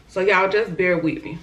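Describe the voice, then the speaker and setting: A hoarse woman hosting an eating show, chatting casually and talking to viewers as y'all between bites. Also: mid-range voice, fairly bright tone, dull audio, a little hiss or hum. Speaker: mukbang host. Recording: echoey room.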